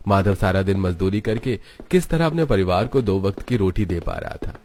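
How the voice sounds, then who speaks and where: A man with a fairly low voice tells a children's story. He reads it as a scripted narration for a cartoon. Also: animated, moderate pace, darkish tone, a little hiss or hum.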